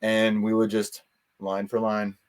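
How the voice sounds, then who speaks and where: A man talking casually as an interview guest, telling things in a rambling, animated way with quoted asides. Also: on the low side, unhurried, clear, resonant tone.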